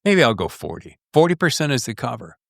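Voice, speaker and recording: A man hosts a podcast in loose, casual conversation, his pitch swinging widely as he rambles along. He is from the US South and leans on folksy slang. The sound is close and dry, with very little room to it. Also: big pitch swings, brisk, creaky, a bit bright, no echo. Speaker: podcast host. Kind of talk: casual interview chat.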